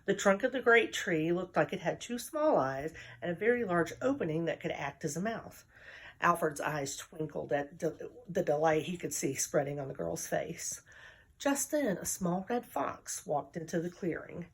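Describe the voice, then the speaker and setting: A woman reading a children's story she wrote, in a calm, measured way. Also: lowish voice, slightly rough. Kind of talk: reading her own story aloud.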